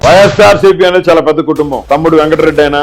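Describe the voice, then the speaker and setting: Guy arguing in an interview, talks super fast, keeps cutting in, music underneath. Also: a little high. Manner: combative, repetitive, cuts off questioner. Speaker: interview guest. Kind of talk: heated political interview.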